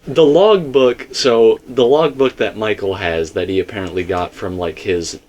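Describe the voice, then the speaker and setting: A man with a slightly low voice leads a casual discussion of theories. He talks in a rambling but emphatic way, like a host laying out a case. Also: normal speed, darkish tone, highs cut off.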